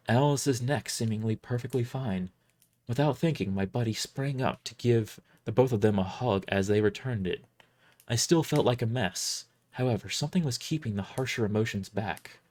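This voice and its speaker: A narrator reading a first-person fantasy audio drama in a deliberate, emotive way. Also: medium pitch, darkish tone.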